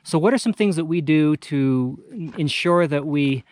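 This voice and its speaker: A man talking casually to camera outdoors in a halting way, searching for his words. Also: fairly high pitch, expressive, darkish tone, dry recording. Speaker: amateur radio hiker hosting a summit video. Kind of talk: casual vlog talk to camera. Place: outdoors on a rocky mountain summit.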